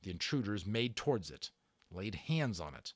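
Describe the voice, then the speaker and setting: A man narrating a children's novel in a theatrical way, stressing words and pausing for drama. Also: on the low side, sing-song, no echo, clean studio sound. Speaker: audiobook narrator and channel host. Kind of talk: dramatic read-aloud storytelling of a children's novel.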